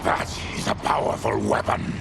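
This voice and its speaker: An actor playing a grave, honorable warrior in a video game, with a gravelly voice and formal, deliberate wording. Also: male voice, very noisy, close to an everyday voice.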